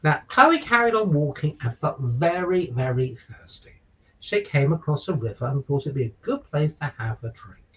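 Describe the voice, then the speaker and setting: A man's voice: on the high side, sing-song, moderate pace, a bit bright, far from the mic, muffled recording. A man reading a children's picture book aloud, with chatty asides and questions to his listeners. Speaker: stand-in children's story reader. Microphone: virtual call, away from the microphone.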